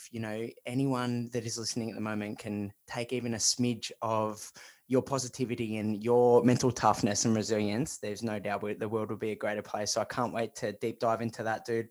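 A man hosting a podcast, enthusiastic and pumped up, calling his guest dude. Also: mid-range voice. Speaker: podcast host. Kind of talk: casual interview talk.

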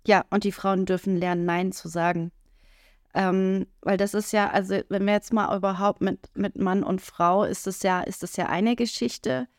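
A woman co-hosts a relaxed talk podcast, speaking in a frank, earthy way. She drops frequent filler sounds and now and then acts out quoted lines. The recording is dry and close, with no room echo. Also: medium pitch, normal speed. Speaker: podcast co-host. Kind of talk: casual podcast conversation.

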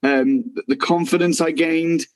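A man's voice: fairly high pitch, steady tone, moderate pace. A man, a cast member, chatting earnestly and gratefully, often restarting his sentences. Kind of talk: casual reunion chat.

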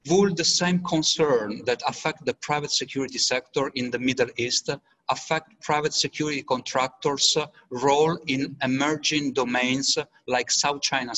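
Italian-accented male moderator, bright, halting delivery. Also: mid-range voice, slight background noise, low-quality recording. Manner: hesitant, repeats words while reading questions. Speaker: webinar moderator. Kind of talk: moderating a panel webinar.

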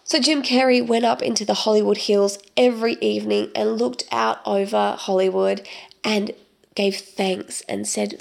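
Croaky, gravelly-voiced woman podcaster. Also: mid-range voice. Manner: rambling, chatty, many ums. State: croaky from a cold. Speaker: podcast host. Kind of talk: casual solo podcast monologue.

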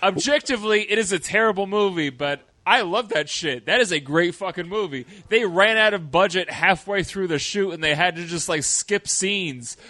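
A loud, brash man co-hosting a podcast, his voice high and bright with a sharp edge. He swears freely and cuts in with quick jokes. The sound is dry and close to the mic. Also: no room sound. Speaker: podcast co-host. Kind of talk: casual podcast banter.

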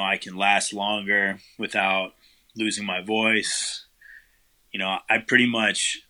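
A man, the guest vocalist, answering interview questions casually, with lots of fillers and loose rambling. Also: on the low side. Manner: relaxed, rambling, many fillers.

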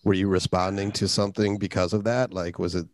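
A man's voice: low-pitched, fairly even intonation, brisk, no echo. A man in his forties chatting casually, tentative and often trailing off mid-thought. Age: 40s. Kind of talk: casual chat.

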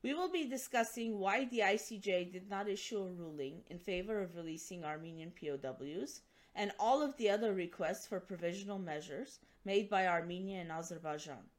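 A woman hosts the interview at a measured, formal pace. She reads a prepared introduction in an even, mid-pitched voice before turning to her questions. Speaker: host.

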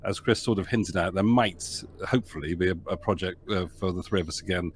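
A man's voice: deep voice, brisk, a little hiss or hum. A man who is a producer and writer, guesting on a podcast over a video call, joking and rambling with frequent laughs. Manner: jokey, rambling, laughs a lot. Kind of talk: casual interview chat.

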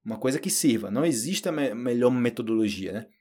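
A Brazilian man with a Brazilian Portuguese accent, giving a relaxed, chatty podcast lesson and addressing learners directly. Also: mid-range voice, fast talker, breathy edge. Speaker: Portuguese teacher. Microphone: solo audio recording.

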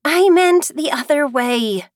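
A woman's voice: high voice, animated, takes it slowly, no room sound, studio quality, voice pushed up. A woman voicing an earnest, decided little girl in a storybook reading. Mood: decided, flustered.

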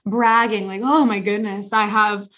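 A woman's voice: medium pitch, measured pace. A young woman co-hosting a casual podcast chat, telling a long story with lots of restarts and fillers. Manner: rambling, animated storytelling with many restarts. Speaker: podcast co-host.

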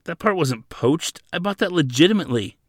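A man voicing a defiant, hot-headed young male prisoner in an audiobook, mocking and loud. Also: fairly high pitch, lively intonation, fairly quick, no room sound, clean studio sound, pitched above the performer's own voice. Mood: indignant. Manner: shouting, mocking.

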